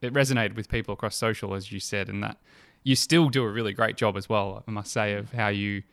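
A man's voice: lowish voice, fairly even intonation, fast, vocal fry, a bit bright. A man hosting a conversational interview, speaking reflectively and affirmingly with hesitant, searching phrasing. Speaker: interviewer, host.

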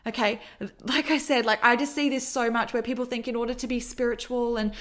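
A woman's voice: on the high side, fairly even intonation, a little fast, fairly bright tone. A young adult woman hosting a solo podcast, talking earnestly and repeating herself, with many "like"s and "okay"s. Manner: earnest, repetitive, filler-heavy with frequent "like". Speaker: podcast host and mentor.

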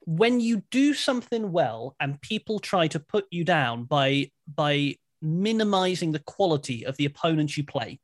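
A man's voice: a little high, expressive, normal speed, few pauses, dry recording, clean studio sound. An English man co-hosting a podcast in casual chat, playful and wry. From England. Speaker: podcast co-host.

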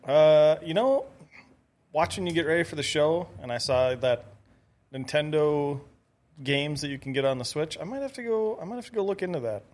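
A man's voice: fairly high pitch, expressive, moderate pace, a little mellow. A man chatting casually as a talk show guest, giving short, offhand, laid-back remarks. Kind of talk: casual chat.

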